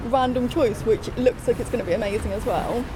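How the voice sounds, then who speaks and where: A woman's voice: fairly high pitch, a little fast. A woman chatting colloquially and with self-deprecation in a casual discussion. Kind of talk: casual chat. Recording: clear urban background noise.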